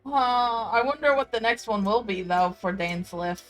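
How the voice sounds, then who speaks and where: A young woman giving casual, excitable, sweary gameplay commentary to a friend. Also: mid-range voice, normal speed, poor audio. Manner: crude joking, sweary, excitable reactions. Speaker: gamer commentator. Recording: home gameplay recording with music underneath.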